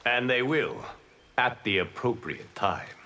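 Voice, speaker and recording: A calm, wise god from a fighting game. He admonishes in slow, solemn phrases with lively intonation. Loud noise runs behind him. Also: mid-range voice. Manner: measured, sententious. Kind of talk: video game character dialogue.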